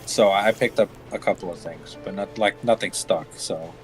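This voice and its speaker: A man's voice: low voice, normal speed, low-quality recording. Laid-back joking man, music faint behind. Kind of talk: casual chat.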